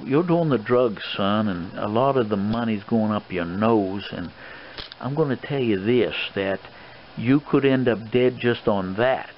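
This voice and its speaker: Rambling old colonel, played by a man, dull noisy recording. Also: fairly low pitch. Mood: grave admonishing. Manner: rambling, hesitant, fatherly admonishing. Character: old Colonel lecturing his friend's gambling son, paternal, stern. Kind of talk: ASMR role play, improvised monologue. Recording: dull lo-fi audio with clear background noise.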